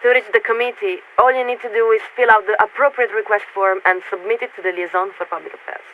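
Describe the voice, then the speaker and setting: A woman playing a poised, politely bureaucratic military signaler in a video game, speaking formally and in measured phrases through a radio filter. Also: medium pitch, fairly even intonation. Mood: official, firm. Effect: radio transmission filter. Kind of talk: scripted video game character dialogue. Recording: narrow radio band with static noise.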